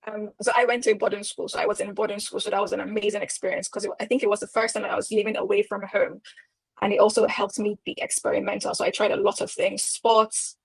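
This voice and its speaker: Woman, young, panel guest, husky gravelly tone, dry sound. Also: medium pitch, little pitch movement, quick pace, no echo. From Nigeria (Lagos). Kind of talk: casual storytelling.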